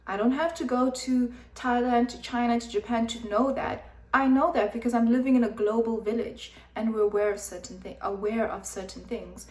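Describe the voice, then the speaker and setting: A woman's voice: fairly high pitch, fairly even intonation, normal speed, a little mellow. A young woman from Zimbabwe who grew up in South Africa, giving frank, emphatic opinion commentary with many fillers. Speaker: vlogger. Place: room with background noise. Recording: echoey room, voice from a distance.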